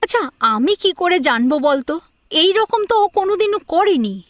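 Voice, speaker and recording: Woman acting a restrained middle-aged mother, high-voiced. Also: very animated, normal speed, no room sound. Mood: worried. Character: weary, curt middle-aged mother and mother-in-law. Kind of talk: audio drama acting.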